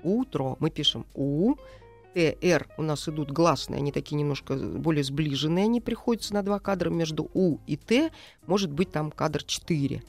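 A woman's voice: deep voice, expressive, moderate pace, a little mellow, soft background music. A woman speaking as an interview guest, explaining a craft step by step with examples. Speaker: guest animation director and screenwriter.

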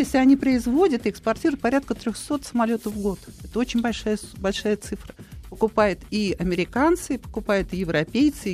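A woman's voice: medium pitch, a little mellow. A middle-aged woman, a guest on a radio talk show, explaining at length with many hesitations. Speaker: guest economist.

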